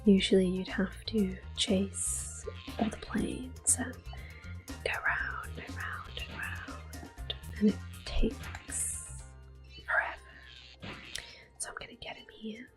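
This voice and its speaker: Woman whispering soft gameplay commentary. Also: mid-range voice, huge pitch swings, slow pace, pauses often, faint music behind. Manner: soft-spoken, gentle, with quiet laughs. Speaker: ASMR gameplay host.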